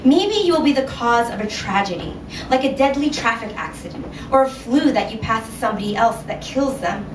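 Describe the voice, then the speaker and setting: Woman reading her short story out loud at some live event, kinda high voice, roomy echo, noisy recording. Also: lively intonation, a little fast, distant mic. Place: indoor venue at a reading series. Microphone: recorded from a distance in the room.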